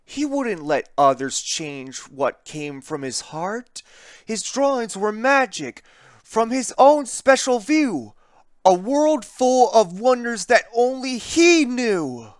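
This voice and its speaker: A narrator reading a children's picture book aloud in an expressive, theatrical way, with deliberate emphasis on the rhymes. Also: medium pitch, dry recording, studio quality.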